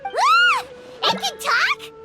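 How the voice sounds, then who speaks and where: An actress voicing a small, excitable female companion in a video game, with a very high, bouncy voice. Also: full character voice. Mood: startled. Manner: quick, animated exclamations. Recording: game soundtrack underneath. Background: loud game music.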